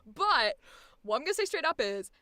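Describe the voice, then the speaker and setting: A woman voice actor chatting casually about auditions, animated and profane, laughing often. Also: high-pitched, normal speed, dry recording. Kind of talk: casual chat.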